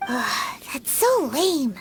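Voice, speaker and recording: A woman voicing a tiny, childlike fairy companion in a game, squeaky, chirpy, excitable and blunt. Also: very slow, a little hiss or hum, exaggerated voice. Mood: disappointed. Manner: speaks of herself in third person, chirpy. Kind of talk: animated game dialogue.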